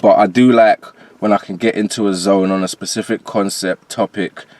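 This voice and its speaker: A man's voice: lowish voice, fairly even intonation, normal speed, darkish tone. A man from Jamaica with a transatlantic accent, talking casually and in slang as a rapper guest in an interview. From Jamaica, later moved to England. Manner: conversational, slangy.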